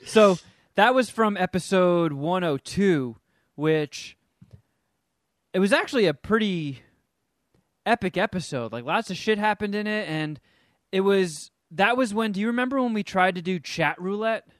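A man's voice: high-pitched, lively intonation, measured pace, dry recording, clean studio sound. A man hosting a podcast, casually rambling through a story with swearing. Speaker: podcast host.

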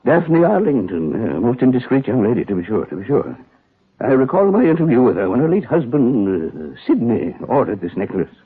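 Through a thin, tinny 1940s radio recording comes a self-important gem expert. The voice is lowish and badly husky, swinging extravagantly in pitch. He talks in florid, courtly phrases and repeats them with pride. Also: sing-song, brisk. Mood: disapproving, gossipy. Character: fussy, pompous gemologist quoting Latin, theatrically proud. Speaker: actor in a radio detective drama.

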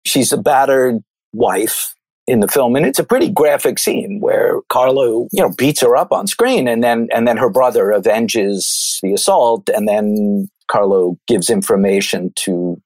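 A man's voice: mid-range voice, lively intonation, a little slow, darkish tone, no room sound. A man from New York with an American accent, talking through films in a discursive, thoughtful, conversational way. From New York, United States. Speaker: film commentator. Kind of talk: conversational film analysis.

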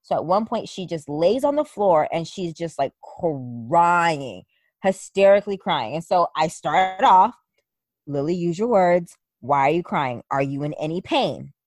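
Lively, blunt young woman chatting. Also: lowish voice, a little slow, no echo, studio quality. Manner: animated, blunt, swears freely. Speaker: podcast co-host. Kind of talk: casual chat.

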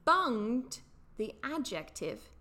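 A woman's voice: fairly high pitch, big pitch swings, drawn-out words, bright, forceful tone. A woman co-hosting a casual chat, explaining slang with frequent laughter. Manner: laughs often, explains patiently. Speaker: podcast co-host.

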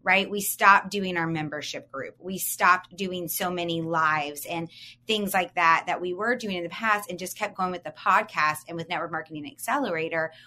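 A woman's voice: lowish voice, moderate pace, pushed and bright. A woman in her forties co-hosting a podcast, coaching listeners in an upbeat, emphatic way and asking them questions. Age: 40s. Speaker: podcast co-host.

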